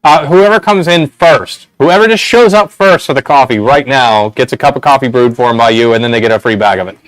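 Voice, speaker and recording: A man's voice: medium pitch, fairly quick, dry recording, poor audio. A young man with a nasal voice, hosting a casual shop livestream and rambling through giveaway details with a lot of "right?".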